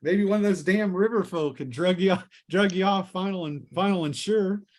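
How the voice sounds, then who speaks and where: A man's voice: sits high, moderate pace, natural voice. A man voicing a friendly, burly village blacksmith with a folksy, avuncular manner in a tabletop fantasy game. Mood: surprised, familiar. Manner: folksy, avuncular, old-fashioned phrasing.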